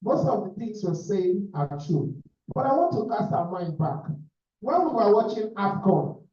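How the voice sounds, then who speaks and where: A man's voice: high-pitched, very animated, normal speed, dark tone. A man from Nigeria, a visiting club president, giving assertive remarks at a meeting. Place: meeting room. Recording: echoey room, distant dull sound.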